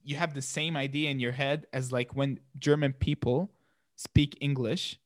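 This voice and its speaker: A man's voice: mid-range voice, steady tone, moderate pace, dry recording, studio quality. A man in his twenties from Palestine, a guest chatting casually in an interview, often restarting and repeating words. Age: 20s.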